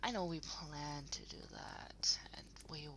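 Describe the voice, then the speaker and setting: Woman acting hushed, whispery affectionate girlfriend. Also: low-pitched. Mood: cozy, coaxing. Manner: soft, breathy, hesitant rambling with trailing pauses. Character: affectionate young woman partner, cozy and rambling at home. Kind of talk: intimate unscripted audio roleplay, spoken to the listener.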